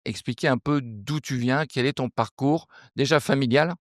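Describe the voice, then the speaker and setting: A man's voice: medium pitch, normal speed, dry recording, clean studio sound. A man hosting a podcast interview in a conversational style, putting questions and short prompts to a guest.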